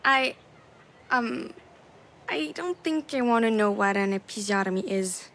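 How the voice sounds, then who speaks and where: A young daughter in a story game, really high voice, sweetly earnest, curious and supportive. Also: female voice, animated, unhurried, a bit bright. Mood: gentle concern. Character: young daughter, a girl, curious, gentle, consoling. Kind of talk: dramatic video game voice acting.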